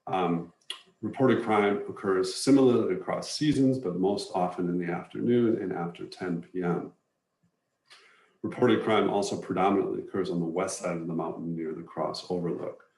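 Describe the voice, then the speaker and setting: A deep-voiced man walks through an informational presentation in a measured manner. He sounds set back from the microphone, with clear room echo around his words. His explanations are plain and matter-of-fact. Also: a little mellow. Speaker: presenter to neighborhood residents. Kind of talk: informational slide presentation narration. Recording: roomy echo, distant from microphone.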